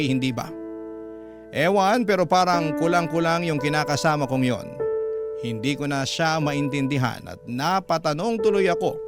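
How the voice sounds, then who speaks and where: Male radio narrator, Filipino accent, fairly high-pitched, dramatic letter-reading style, prominent music bed. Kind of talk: dramatic first-person scripted narration. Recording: loud music under the voice. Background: loud background music.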